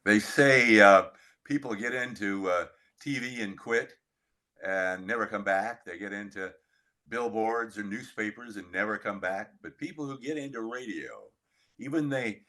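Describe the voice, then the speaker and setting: An older man with a low, bright and forceful voice works as an interviewer. He speaks conversationally, like someone telling a story, and places his pauses deliberately for effect.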